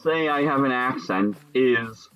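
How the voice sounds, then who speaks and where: A young man from Chicago with a Chicago accent, chatting casually with viewers during a game stream and musing between pauses. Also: mid-range voice, fairly bright tone, muffled recording. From Chicago, Illinois. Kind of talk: casual live-stream chat while gaming.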